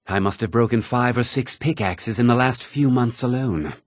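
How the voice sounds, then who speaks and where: An actor playing a proud, gruff miner and former soldier in a video game. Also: male voice, medium pitch, moderate pace, no room sound, clean studio sound, close to an everyday voice. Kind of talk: video game character lines.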